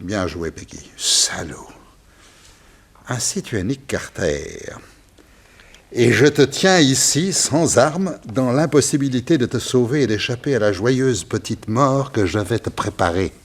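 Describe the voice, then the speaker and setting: An actor playing a sinister, gloating crime boss with a theatrical, husky delivery in a French radio serial. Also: male voice, medium pitch, animated, unhurried. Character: sinister male crime boss who drinks blood.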